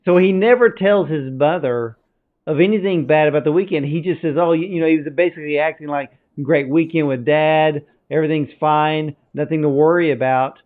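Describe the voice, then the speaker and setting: A man's voice: fairly high pitch, a little fast. A man from the United States hosting an interview, asking long rambling questions. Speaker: interviewer, host. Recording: tinny phone-like line.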